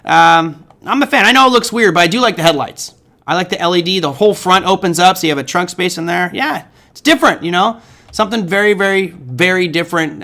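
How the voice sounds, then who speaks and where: A middle-aged man hosts a live stream and chats loosely with his viewers, joking and wandering off topic with plenty of ums and uhs. His voice is bright and forceful and sits a little high for a man. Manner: chatty, jokey, rambling with many fillers. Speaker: host of a trading livestream. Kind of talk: casual livestream chat answering viewers.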